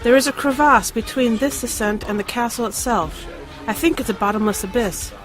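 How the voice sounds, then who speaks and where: A woman's voice: a little high, normal speed, over music, natural voice. A woman playing a winged sorceress queen and former priestess in a fantasy audio drama, solemn and portentous. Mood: assured, urging.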